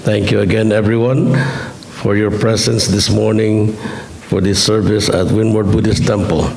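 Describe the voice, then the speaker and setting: A middle-aged man gives a dharma talk, his low voice roughened by a chest cold and a touch of gravel. He talks in an easygoing, lightly humorous way. The sound carries the echo of a temple hall. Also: level tone, normal speed. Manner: gentle, chatty, lightly joking. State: chest cold, slightly hoarse. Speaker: Buddhist minister.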